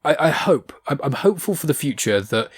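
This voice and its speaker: A man's voice: a little high, very animated, a little fast, breathy edge. A man hosting a podcast, chatting casually in an opinionated, rambling way and swearing freely. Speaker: podcast host. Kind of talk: casual chat.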